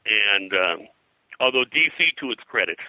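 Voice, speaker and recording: Deep, very bright-voiced older man, halting. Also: expressive, dry recording, rough recording. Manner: halting, discursive, hesitant phrasing. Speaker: interview guest, pop culture historian. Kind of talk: casual interview, anecdotal storytelling.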